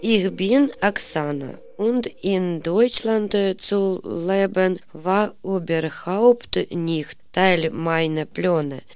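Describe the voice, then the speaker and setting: A woman giving a personal statement in a slow, hesitant way. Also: lowish voice, a little mellow, clean tone, no room sound.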